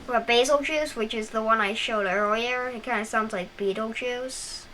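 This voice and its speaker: A woman's voice: on the high side, normal speed, a bit bright. Chatty young woman with a scratchy voice. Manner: rambling, filler-heavy, frequent restarts. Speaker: gameplay guide host. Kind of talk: casual gameplay walkthrough. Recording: noisy home recording.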